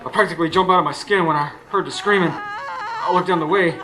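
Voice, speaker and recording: A man's voice: fairly high pitch, a little fast, lightly acted. A man acting in a radio drama as a weary, haunted working-class man who narrates his troubles in folksy speech. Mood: alarmed. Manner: folksy, colloquial. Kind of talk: radio drama first-person narration and dialogue. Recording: faint noise, live stage recording.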